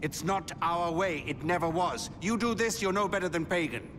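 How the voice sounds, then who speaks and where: An actor playing a principled, stern rebel leader in a dramatic video game, earnest and moralizing. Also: male voice, sits high, lively intonation, moderate pace, a bit bright, slight background noise. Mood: stern disapproval.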